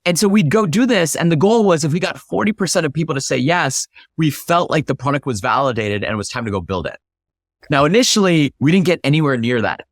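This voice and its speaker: A man's voice: medium pitch, no echo, studio quality. A man giving structured, explanatory answers as an interview guest, stressing his key points. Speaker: interview guest, product leader.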